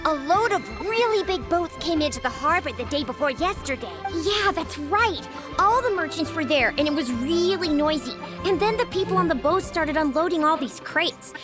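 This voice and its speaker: A woman voicing an excitable, chatty young child in a video game, with an eager, childlike manner. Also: high voice, moderate pace, music in the background. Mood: excited.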